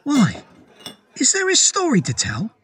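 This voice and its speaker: A man's voice: extremely high pitch, wildly swooping pitch, unhurried, a bit bright, voice pushed up, exaggerated voice. A man voicing a nervous, inquisitive young pub customer who speaks hesitantly and politely in a horror story. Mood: cautious. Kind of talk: dramatised horror audio story.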